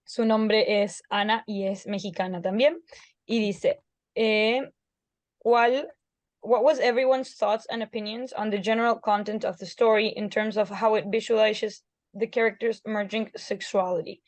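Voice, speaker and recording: Friendly, halting woman moderator, dry sound. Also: mid-range voice, steady tone, no room sound. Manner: friendly, hesitant with fillers and restarts. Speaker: youth jury coordinator. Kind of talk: moderating questions, bilingual.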